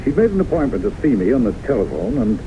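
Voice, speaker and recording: Casting: male actor for gentleman psychiatrist, earnest and romantic, mid-range voice, animated radio drama style, noisy recording. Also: medium pitch, fast, lo-fi sound, natural voice. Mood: earnest, wistful. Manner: earnest, theatrical, flowery phrasing. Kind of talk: old-time radio drama acting.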